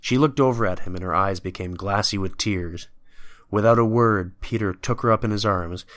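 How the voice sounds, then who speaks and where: A man's voice: fairly low pitch, normal speed, fairly bright tone, no room sound. A man narrating a scripted dramatic story as the narrator of an audio drama.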